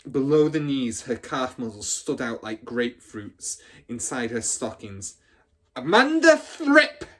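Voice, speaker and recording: A man's voice: medium pitch, unhurried, darkish tone. A man reading a children's story aloud as a teacher would, with dramatic, emphatic expression.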